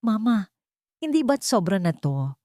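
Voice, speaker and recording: A woman's voice: mid-range voice, big pitch swings, unhurried, warm tone, no room sound, pitched above the performer's own voice. A meek, cowardly grown son and young father voiced by a woman narrator in a dramatic audio story. He sounds pleading and hesitant, recorded dry and close. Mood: meek protest. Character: timid, cowardly adult son and guilt-ridden young father.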